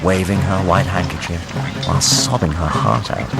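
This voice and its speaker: A man's voice: sits low, steady tone, normal speed, gravelly, over loud music. An actor playing a young married adventurer, narrating his tale with wry, self-mocking humour in a radio drama. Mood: reflective. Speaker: narrator and lead.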